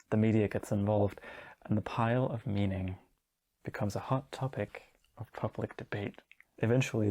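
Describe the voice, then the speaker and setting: Wry young Danish man, mellow low voice. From Denmark. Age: 20s. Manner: wry, sardonic, casual asides. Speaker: video essayist. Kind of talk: video essay book recap.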